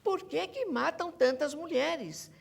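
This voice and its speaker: A woman's voice: high voice, lively intonation, takes it slowly, gravelly. A Brazilian woman speaking emphatically as an academic guest in a panel discussion, insisting on her points and repeating them. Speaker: academic guest, researcher and author.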